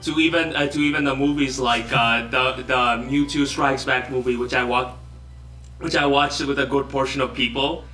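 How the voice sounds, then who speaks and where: A man's voice: mid-range voice, steady tone, moderate pace, sharp tone, faint music behind. A young man from Bangladesh, a guest in a casual online interview, joking and self-deprecating as he rambles. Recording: roomy echo, distant from mic.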